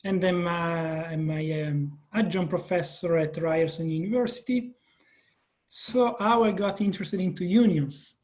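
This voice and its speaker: A man's voice: high voice, a little slow, a little mellow. Italian-accented man introducing himself. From Italy. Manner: earnest, searching for words, frequent restarts. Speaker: panelist. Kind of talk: conference panel introduction.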